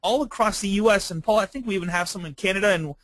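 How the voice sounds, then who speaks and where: A man's voice: high voice, quick pace, a bit bright, no room sound. A man hosting a webinar in a casual, effusive and enthusiastic way, chatting with his guest. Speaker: webinar host. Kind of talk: casual chat, introducing and prompting a guest.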